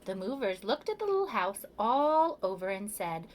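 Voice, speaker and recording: A woman reading a children's picture book aloud as a preschool storyteller, with expressive, measured delivery and emphatic pauses. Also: medium pitch, slight background noise.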